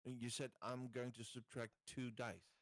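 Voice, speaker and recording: A man's voice: mid-range voice, little pitch movement, measured pace, no echo. A man chatting casually as a player in a tabletop roleplaying game. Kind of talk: casual chat.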